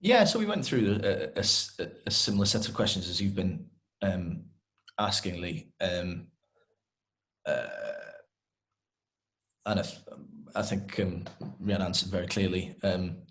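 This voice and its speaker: A man with a Welsh accent speaking in a halting, hesitant way as he summarises in an informal Q&A. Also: deep voice, lively intonation, fairly bright tone. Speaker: interviewer and interpreter.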